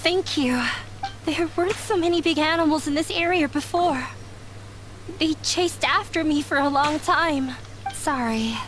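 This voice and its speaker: A woman voicing a shy young runaway in a game dub, speaking quietly and apologetically. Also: high voice, a little slow, slight background noise. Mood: apologetic.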